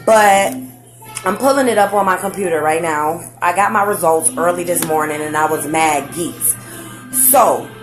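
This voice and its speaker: Excited young woman chatting with slang. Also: fairly low pitch, a little slow, soft background music. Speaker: vlogger. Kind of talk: casual facebook live chat.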